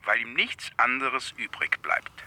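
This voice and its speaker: A man's voice: medium pitch, unhurried, sharp tone. An actor playing a teasing, exasperated police colleague who shares secrets in a radio play, a man with a conspiratorial, emphatic manner. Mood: confiding. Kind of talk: radio drama phone dialogue.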